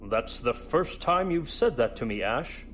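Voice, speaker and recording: An actor playing a cold, arrogant imperial colonel in a science fiction audio drama, self-assured and menacing. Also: male voice, mid-range voice, lively intonation, measured pace, a bit bright, slight background noise. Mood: quiet, tender. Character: cold, arrogant imperial special operations colonel, male villain. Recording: music under the voice.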